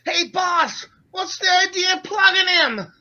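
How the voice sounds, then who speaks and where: A man plays a rough, impatient henchman from a 1940s radio gangster show. The voice is hard-edged and forceful, brusque and full of slang. The words come slowly, with a lively swing in pitch. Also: lo-fi sound, slight background noise, full character voice. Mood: alarmed. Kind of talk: 1940s radio drama acting.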